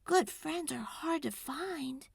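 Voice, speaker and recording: Earnest, stubborn little girl for a children's storybook, played by a woman; high, animated, hesitant and heartfelt. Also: slow, gravelly, no room sound, clean studio sound, exaggerated voice. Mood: tender delight. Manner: hesitant ums and huhs, heartfelt.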